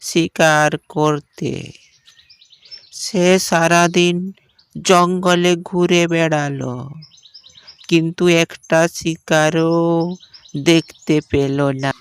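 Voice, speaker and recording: A woman's voice: low voice, steady tone, very slow, pauses often, clear, resonant tone. A woman narrating a scripted cartoon story.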